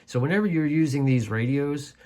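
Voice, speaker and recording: A man's voice: on the high side, animated, fairly quick, dark tone. A man hosting a casual explainer in an informal, conversational way with folksy asides. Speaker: host.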